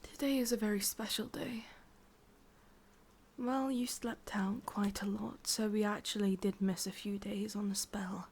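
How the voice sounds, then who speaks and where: A woman's voice: medium pitch, steady tone, a little slow, a little mellow, husky. A woman with an English accent playing a possessive, sadistic ancient witch in a whispered ASMR roleplay, coaxing and controlling. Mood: possessive, teasing.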